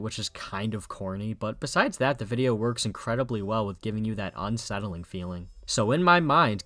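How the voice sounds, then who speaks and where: A man's voice: lowish voice, fairly bright tone, no echo. A man narrating a scripted video review in an informal, sardonic, sweary way.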